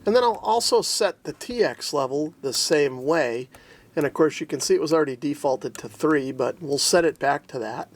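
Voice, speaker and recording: A man's voice: on the high side, animated, normal speed. An American man narrating a step-by-step product demonstration and explaining features as he goes. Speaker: radio reviewer and demonstrator.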